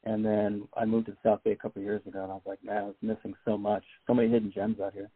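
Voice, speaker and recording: Fast-talking raspy male podcast host. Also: lowish voice, dry recording. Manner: quick, friendly questioning. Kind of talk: casual interview hosting. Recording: dry, tinny phone-like sound.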